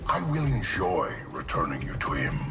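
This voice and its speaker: An actor playing a cruel, hulking male villain in a video game, with sneering, deliberate threats. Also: rumbling low, huge pitch swings, exaggerated voice. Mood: gloating menace. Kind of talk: video game cutscene acting. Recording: distant echoing voice under loud music.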